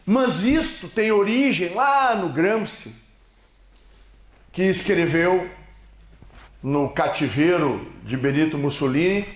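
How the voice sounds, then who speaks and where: A man's voice: animated, takes it slowly, pauses often. Emphatic Brazilian man in an echoey hall. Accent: Brazilian Portuguese. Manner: emphatic, swelling and dropping in pitch. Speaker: seminar speaker. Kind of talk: political seminar speech with slides. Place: lecture hall.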